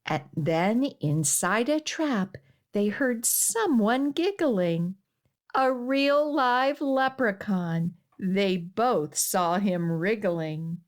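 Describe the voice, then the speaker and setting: A woman hosting a children's storytime and reading a picture book aloud in an expressive, deliberate way. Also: mid-range voice. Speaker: children's librarian and storytime host.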